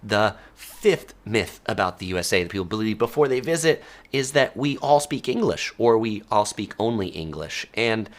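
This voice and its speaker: A man's voice: lowish voice, animated, moderate pace. A man from the United States, hosting a casual live-stream talk about travel myths, chatty and full of ums and uhs. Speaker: travel video host. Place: Southern California.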